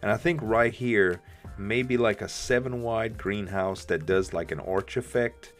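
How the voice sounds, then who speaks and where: Deep-voiced man with a rough morning voice. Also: a little slow, soft background music. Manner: rambling, thinking aloud while building. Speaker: let's play host. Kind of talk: casual gameplay commentary.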